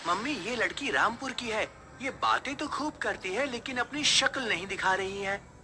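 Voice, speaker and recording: Man acting high-pitched, earnest young bachelor. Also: animated, moderate pace, background noise. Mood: puzzled. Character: short, unmarried young man, earnest and easily scared. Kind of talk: acted dialogue in a Hindi horror cartoon story.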